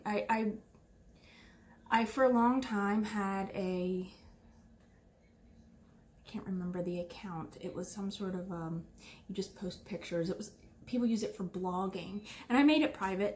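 A woman's voice: medium pitch, a little mellow, a little hiss or hum. A woman of thirty-eight hosting her knitting podcast from her living room, chatting casually and rambling with lots of fillers and restarts. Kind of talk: casual rambling chat to camera. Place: living room at home, indoors.

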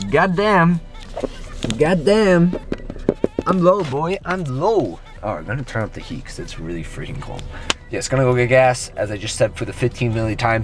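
A young man chatting casually to a handheld camera for his vlog, rambling with lots of fillers, first in his bedroom and then in his car. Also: mid-range voice. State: groggy and hoarse after waking. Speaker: vlogger. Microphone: handheld camera at arm's length.

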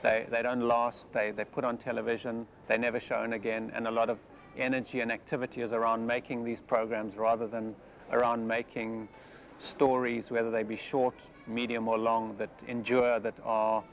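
A South African man in his forties speaks in a gravelly, fairly flat voice, weighing his words with many small pauses. He is recorded outdoors on steps in a city square, with faint street noise behind him. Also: mid-range voice. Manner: measured, thoughtful, with many hesitations. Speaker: film director. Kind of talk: interview monologue.

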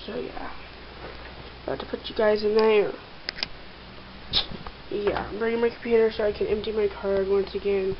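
A woman's voice: medium pitch, little pitch movement, normal speed, long pauses. Rambling young woman vlogging at home. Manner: rambling, filler-heavy. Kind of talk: casual daily vlog chat. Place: small bedroom and bathroom at home. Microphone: handheld camera at arm's length. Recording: lo-fi camera audio with loud hiss.

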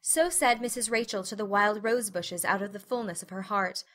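A woman's voice: medium pitch, normal speed, fairly bright tone. A woman reading scripted literary narration as an audiobook narrator.

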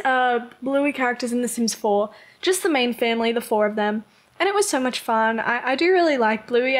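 A young Australian woman chatting casually over a life-simulation game, rambling with lots of "like" and small laughs. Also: a little high. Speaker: Sims content creator. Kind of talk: casual chat over gameplay. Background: rain heard outside.

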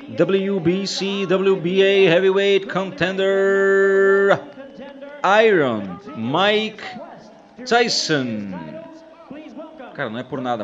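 A man hosting and commentating casually over old fight footage, joking with his co-host. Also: a little high. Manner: chatty, jokes with his co-host. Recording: dull sound with loud crowd behind. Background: crowd noise from fight footage.

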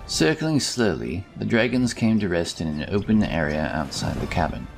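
Male fantasy narrator: very low, creaky voice, expressive storytelling over music. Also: moderate pace.

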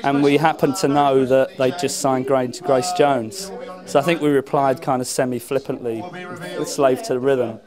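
A man's voice: mid-range voice, level tone, moderate pace, crowd noise. A man, a band musician, giving casual interview answers in an informal, rambling way. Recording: clear music playing behind. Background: music at a live event.

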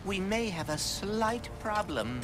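A man's voice: high voice, big pitch swings, exaggerated voice. A man voicing a small, logical robot sidekick in a video game, speaking formally and precisely. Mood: concerned. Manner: formal, precise, measured wording. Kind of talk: video game cutscene acting. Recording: loud music behind.

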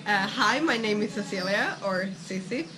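A woman's voice: medium pitch, lively intonation, moderate pace. A young woman from Finland with a Finland-Swedish accent, a native Swedish speaker, answering interview questions hesitantly at an outdoor cafe. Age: young adult. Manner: hesitant, searching for words. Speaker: guest. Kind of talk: casual interview. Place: outdoor cafe terrace in Thailand. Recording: loud street noise behind. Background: traffic and street ambience.

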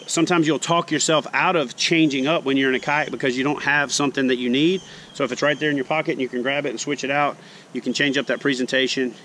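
A man's voice: medium pitch, a little hiss or hum. Folksy man demoing fishing gear outdoors. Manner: relaxed, folksy explaining, plain talk. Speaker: fishing show host. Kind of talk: casual how-to demonstration. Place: backyard lawn outdoors. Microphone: camera mounted close on chest.

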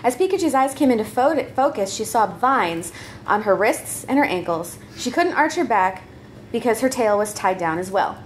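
A woman's voice: on the high side, expressive, moderate pace, slight background noise. A woman reading a comedic story aloud live, narrating in a playful, giggly way and readily breaking character. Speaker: troupe member reading own comedy story.

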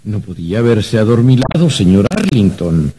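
An actor playing a fussy, flustered archangel bureaucrat in a radio drama, pompous and hesitant. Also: male voice, on the low side, very animated, moderate pace, warm tone, a little hiss or hum. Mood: officious, measured. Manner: hesitant, pompous, hemming and hawing. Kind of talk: Spanish-language radio drama acting.

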